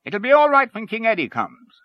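A man voicing a cheerful, jaunty man with a loud, high voice. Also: huge pitch swings, brisk, dry recording, lo-fi sound, full character voice. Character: cheerful, jaunty Irish hanger-on.

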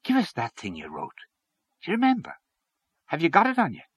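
A man's voice: a little high, huge pitch swings, fairly quick, fairly bright tone, husky, no echo, clean studio sound, pitched above the performer's own voice, exaggerated voice. A man voicing a conciliatory political canvasser with a coaxing, peaceable way of talking, in a story reading. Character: O'Connor, conciliatory Irish male political canvasser.

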